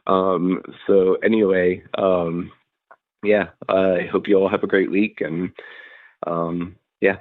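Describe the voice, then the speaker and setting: A man with a low, fairly flat voice talks casually about tech as a podcast host. He rambles and hesitates as he feels his way through his thoughts. The sound is narrow and phone-like, with very little room in it. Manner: halting, hedging, rambling delivery. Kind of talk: casual solo commentary on tech and security.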